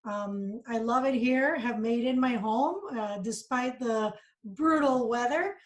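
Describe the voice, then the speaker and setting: A woman's voice: fairly high pitch, slow pace. A middle-aged woman from Costa Rica, a guest in a casual interview, talking in an earnest, reflective, upbeat way about her life and beliefs.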